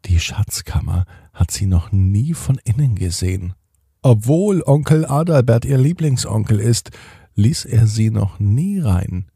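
A man narrating a scripted bedtime story for children in a husky, breathy, unhurried voice as a storyteller. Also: on the low side, expressive, warm tone, dry recording. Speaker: storyteller and podcast host.

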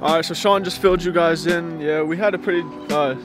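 A young man with a slightly raised voice talks loosely and excitably to an action camera by a lake. Music plays clearly under him. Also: on the high side, fairly quick. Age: young adult. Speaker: fishing vlogger. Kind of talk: casual vlog chat. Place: outdoors by a lake.

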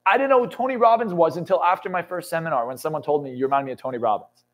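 A man's voice: on the high side, rapid-fire. A man in his twenties, a motivational speaker and coach, talking emphatically in a studio interview and swearing often. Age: 20s. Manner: intense, emphatic, swears often, builds to excited peaks. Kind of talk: motivational talk in a podcast interview. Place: indoor studio lounge set.

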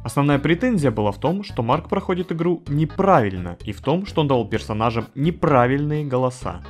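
A man's voice: medium pitch, animated, fairly quick. A man narrating scripted video commentary in a wry, informal manner with some swearing. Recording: music behind the voice. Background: faint music.

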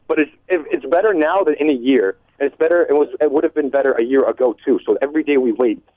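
A young man calling in to a talk radio show, rushing through his points with frequent restarts. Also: mid-range voice, no room sound. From United States. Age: young adult. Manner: rapid, restarts mid-sentence. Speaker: caller. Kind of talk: radio call-in conversation. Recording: tinny phone line.

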